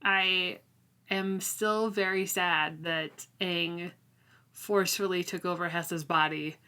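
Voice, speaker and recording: A woman with a bright, forward voice pitched slightly low co-hosts a casual book chat. She speaks informally, hesitating as she works out her thoughts aloud. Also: fairly even intonation, slow pace, smooth. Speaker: podcast co-host.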